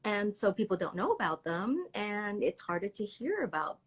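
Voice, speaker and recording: A middle-aged woman whose first language is Cantonese tells her story with animated energy and frequent laughter. Her voice is slightly husky and swoops through a wide, lively pitch range. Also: normal speed. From Canada. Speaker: children's book author. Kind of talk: casual live interview chat.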